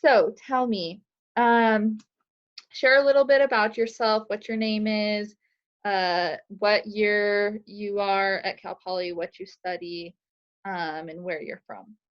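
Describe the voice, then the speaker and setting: A woman's voice: mid-range voice, fairly even intonation, slow pace. A young adult woman acting as interviewer, asking prepared questions in an informal way. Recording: slightly dull, lo-fi sound.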